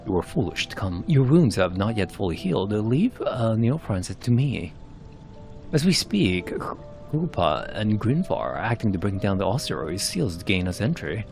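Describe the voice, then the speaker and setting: A man voicing a secretive, watchful bard from a fantasy game, with measured and formal archaic phrasing. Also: lowish voice, sing-song, vocal fry, darkish tone. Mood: concerned. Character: suave, secretive male bard, grave and watchful. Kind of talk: amateur narrated fantasy game cutscenes. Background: music.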